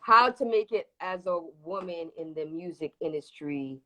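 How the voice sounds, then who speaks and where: A woman's voice: on the low side, lively intonation, moderate pace, noisy background. A woman from Toronto with early years in Jamaica, chatting casually and emphatically as an interview guest, repeating words for stress. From Toronto, Canada, with early childhood in Jamaica. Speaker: interview guest, reggae dancehall singer. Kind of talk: casual live interview chat. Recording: livestream video call.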